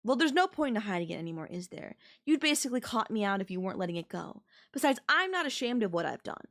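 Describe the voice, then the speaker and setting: A woman performs a young female babysitter with an obsessive crush on her employer. Her mid-pitched voice is conversational yet pleading, defensive and possessive. It plays as intimate, anime-flavored audio roleplay addressed to one listener. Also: big pitch swings, quick pace. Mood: bitter, insistent.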